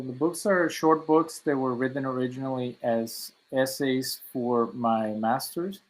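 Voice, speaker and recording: Flat-toned Mexican-born man, halting. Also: medium pitch, low-quality recording. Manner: halting, many fillers and restarts. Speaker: author guest. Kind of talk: interview answers.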